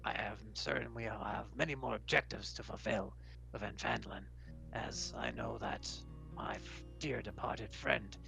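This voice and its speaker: A man voicing a courteous, scholarly metal construct who speaks in formal, measured phrases for a tabletop game. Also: lowish voice, creaky, exaggerated voice, low-quality recording.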